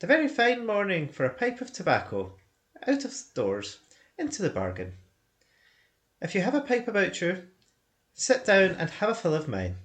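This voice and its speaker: A man's voice: high-pitched, huge pitch swings, normal speed, long pauses, fairly bright tone, pitched above the performer's own voice, full character voice. A man voicing a fussy, respectable male hobbit who is polite but prickly. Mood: cheerful.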